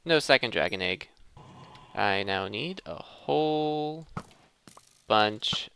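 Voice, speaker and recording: A man gives relaxed, off-the-cuff commentary as he plays, talking to his viewers like friends. His mid-pitched voice moves up and down in an animated way and often drops into vocal fry. There is faint noise behind him. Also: slow pace. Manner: thinks aloud, addresses viewers as friends. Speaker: host of a gaming let's play series. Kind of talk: casual gameplay commentary.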